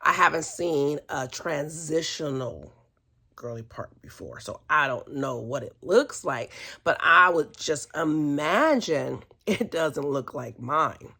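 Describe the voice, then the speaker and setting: A woman's voice: low-pitched, expressive, slow, bright, forceful tone, breathy edge. Candid woman chatting through a story. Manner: candid, chatty, amused, often hesitant. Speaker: vlogger telling personal stories. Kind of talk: casual conversational storytelling.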